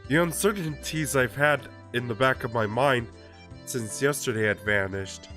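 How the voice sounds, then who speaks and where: A young man with a congested, stuffy voice reads a story aloud on a relaxed live stream, chatting between passages with easy laughs and plenty of fillers. Soft music plays faintly behind him. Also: medium pitch, moderate pace. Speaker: streamer reading a visual novel aloud. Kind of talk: live-streamed casual reading and chat.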